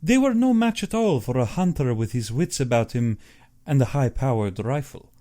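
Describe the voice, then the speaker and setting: Man playing pompous, mellow aristocratic general, theatrically expressive. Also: mid-range voice, moderate pace, natural voice. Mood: wistful, bored. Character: urbane, boastful, cold-blooded older aristocratic general and hunter. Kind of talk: audiobook character acting.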